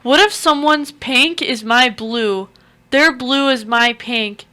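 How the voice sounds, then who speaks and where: A woman's voice: high-pitched, slow pace, bright, forceful tone, smooth. A young woman co-hosting a radio show in casual chat, musing aloud and filling her thoughts with "like". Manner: musing, lays out ideas with many "like"s.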